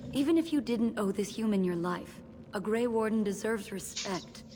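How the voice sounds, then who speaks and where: A woman's voice: medium pitch, expressive, normal speed, lightly acted. A woman voicing an earnest young elven apprentice in a video game, polite and formal in her speech. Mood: firm. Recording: echoey cave reverb, clear background noise.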